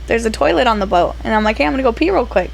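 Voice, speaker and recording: Hoarse woman telling a story. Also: on the high side, very fast. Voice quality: raspy, lost voice. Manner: animated storytelling, laughing through it. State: hoarse from a cold. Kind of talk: casual podcast chat.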